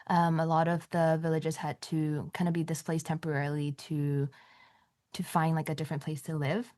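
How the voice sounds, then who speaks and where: A woman's voice: sits low, flat delivery, normal speed, a little mellow, no echo, clean studio sound. A young woman from Malaysia, a nurse practitioner, talking in a casual church interview with many "um"s and "like"s. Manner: chatty, many fillers like "um" and "like". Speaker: nurse practitioner and missionary guest.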